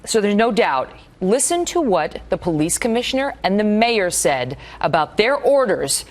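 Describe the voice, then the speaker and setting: A woman's voice: medium pitch, sing-song, normal speed. A woman hosting a live news interview, speaking in an emphatic, pointed way. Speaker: news host.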